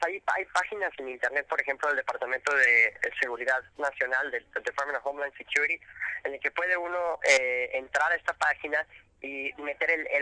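A man's voice: fairly high pitch, sharp tone, no echo, poor audio. A man answering radio interview questions about immigrant detention, explaining step by step with frequent fillers and restarts. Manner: explanatory, halting with many fillers. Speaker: immigration rights guest.

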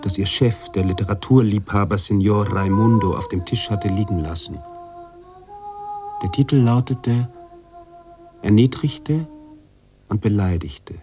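A man playing a brooding, contemplative narrator-author in a literary radio drama, speaking deliberately with pauses between phrases. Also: lowish voice, music in the background, lightly acted. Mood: musing. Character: brooding male narrator-author, contemplative, troubled by his heroine.

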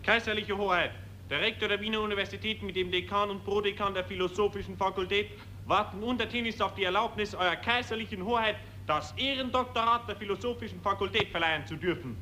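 An actor playing an obsequious court aide who rattles off formal announcements in a 1940s radio play. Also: male voice, sits high, fairly even intonation, fast talker, bright, forceful tone. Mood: servile, formal. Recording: faint hiss, old 1940s radio recording.

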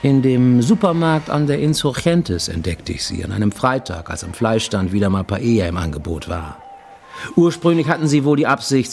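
A man's voice: lowish voice, a little hiss or hum, lightly acted. An actor playing a wary, greying Japanese man in hiding abroad, confiding in a formal, insistent way in a radio play monologue. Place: studio radio production.

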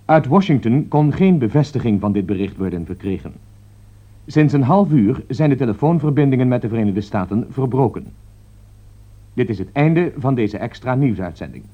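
A male actor plays a sober radio newsreader in a drama, reading an urgent bulletin formally and factually. His voice sits at a middle pitch on a dull, lo-fi recording. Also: animated, normal speed, natural voice. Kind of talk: scripted radio news bulletin in a radio play.

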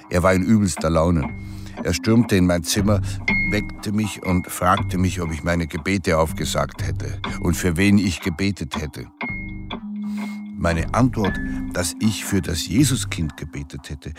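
Radio drama: brooding artist, deep, measured, emphatic, music bed. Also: male voice. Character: brooding male artist reflecting on fear and art. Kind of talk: literary radio drama monologue. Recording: music under the voice.

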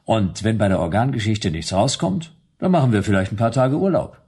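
A man's voice: lowish voice, expressive, a little fast, darkish tone, breathy edge. A man playing a wry private detective in a radio play, speaking in a curt, sardonic and bossy way. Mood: teasing, impatient. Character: wry, brisk male private detective, bossy with his computer.

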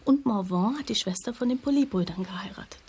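An actress playing a sober, knowing investigative journalist in a radio drama, direct and matter-of-fact. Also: female voice, medium pitch, fast, darkish tone, slight background noise, close to an everyday voice. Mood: sober, explaining. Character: sober, knowing female investigative journalist, loyal old friend.

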